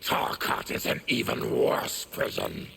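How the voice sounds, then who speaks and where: An actor voicing a fierce, grave male beast warrior in a video game, with a gravelly voice. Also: slow, fairly bright tone, slight background noise. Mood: grim.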